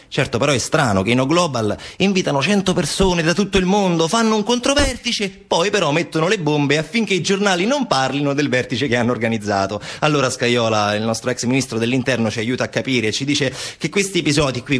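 A man's voice: fairly high pitch, a bit bright. A man performing a satirical theatrical narrative monologue as actor-narrator, ironic, with short declarative phrases and rhetorical questions.

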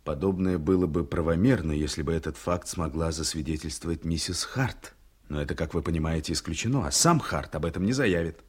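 A principled prosecutor's assistant in a radio drama. His voice is deep and husky, and he argues formally, in measured, reasoned phrases. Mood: firm, formal. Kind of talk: Soviet radio drama acting.

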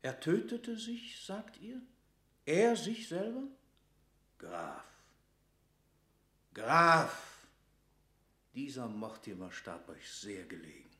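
A man's voice: medium pitch, very animated, takes it slowly, long pauses, sharp tone, echoey room, full character voice. An actor playing a shrewd, suspicious court statesman in a classical radio drama, cold and insistent, with pointed, emphatic rhetoric. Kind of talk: classical German stage-verse radio drama.

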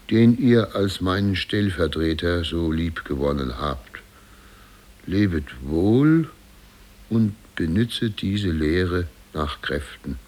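Polternder, langsam deklamierender Kleinstadtbürger. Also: male voice, sits low, a little mellow. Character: indignant small-town mayor, blustering, superstitious, pompous. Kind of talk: 1950s German radio play acting. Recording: 1950s radio recording from cassette.

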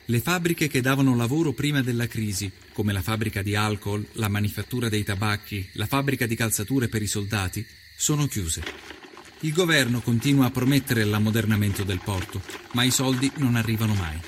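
Actor as earnest, measured 19th-century journalist. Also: male voice, lowish voice, a little hiss or hum, natural voice. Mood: grave, indignant. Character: earnest Italian journalist of the 1890s recounting his travels. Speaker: voice actor narrator. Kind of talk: scripted first-person documentary narration.

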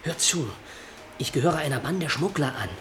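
A man's voice: a little high, normal speed, natural voice. An actor playing a young male Scottish soldier on the run, who talks urgently and pleads in a radio play. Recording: noisy old radio recording.

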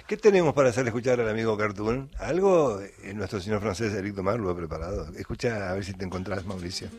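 A man's voice: on the low side, quick pace, a little mellow. A man with an Argentine accent hosting a casual radio interview. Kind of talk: casual studio interview chat.

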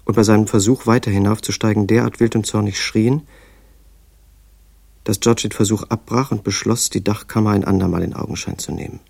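Gravelly low male storyteller. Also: fairly even intonation, darkish tone, no echo. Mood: measured. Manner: measured, literary storytelling. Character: male literary narrator telling an inmate's strange story. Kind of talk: scripted radio drama narration.

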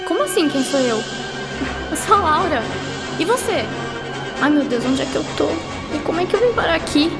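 High-voiced Brazilian schoolgirl, colloquial. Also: very animated, brisk, long pauses, over loud music. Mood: bewildered. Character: chatty, curious schoolgirl student. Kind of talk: radio drama dialogue.

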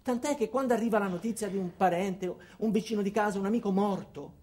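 An actor playing an anxious, paranoid ordinary man, telling his story in a wry, deadpan theatrical monologue. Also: high-pitched, quick pace, natural voice. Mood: wry, resigned. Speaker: actor performing a comic monologue. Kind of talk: theatrical first-person comic storytelling.

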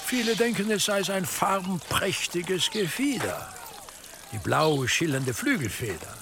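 An actor playing an imperious, slyly menacing church dignitary in a medieval radio drama, speaking deliberately and with condescending insinuation. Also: male voice, high voice, very animated, creaky, background noise, heavily acted. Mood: musing, leading. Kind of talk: German radio drama acting in a medieval setting.

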